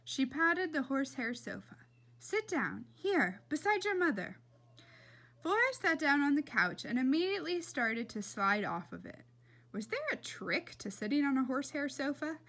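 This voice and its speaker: A woman reads a children's story aloud. Her voice sits a little high, and her storytelling is expressive, with very lively rises and falls. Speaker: reader.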